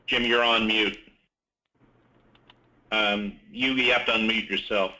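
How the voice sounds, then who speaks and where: Male moderator, lowish pitch, very bright and tense tone, informal, on a muffled call line. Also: fairly even intonation, takes it slowly. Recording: dull conference call line.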